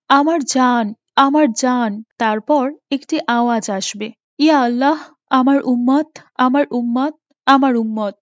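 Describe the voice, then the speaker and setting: Woman acting gentle religious mum, high voice, pleading n preachy, cartoon, muffled w music. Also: dry recording. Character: gentle, devout mother, long-suffering wife of a drunkard. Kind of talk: Islamic moral cartoon voice acting.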